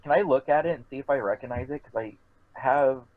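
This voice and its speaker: A man's voice: mid-range voice, fairly quick, dry recording. A man chatting casually as a player in a tabletop role-playing game. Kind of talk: casual chat. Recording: dull, lo-fi voice chat.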